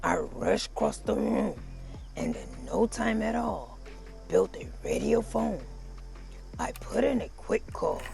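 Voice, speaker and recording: A storybook reader narrating for children in a theatrical, deliberate way with drawn-out phrasing. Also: mid-range voice, wildly swooping pitch, vocal fry, darkish tone, soft background music. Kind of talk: dramatic children's read-aloud narration.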